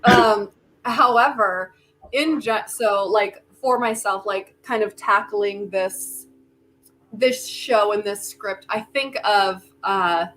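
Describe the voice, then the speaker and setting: A woman's voice: medium pitch, slow pace, a bit bright. A woman chatting casually as an actor on a video call, piecing her thoughts together with some hesitation. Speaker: actor in a post-show discussion. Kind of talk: casual chat. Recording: noisy video call audio.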